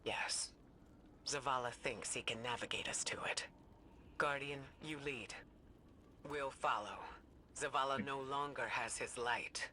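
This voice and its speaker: Very bright-voiced, focused game ally. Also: male voice, mid-range voice, a little slow, pauses often, slight background noise. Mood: grave, focused. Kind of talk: scripted video game acting.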